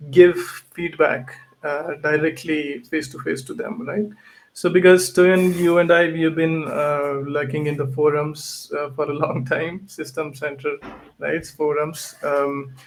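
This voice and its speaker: A man's voice: fairly high pitch, vocal fry, low-quality recording. A man hosting a tech podcast, talking casually and often ending his points with "right?". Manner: tags sentences with "right?". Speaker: podcast host and event organizer.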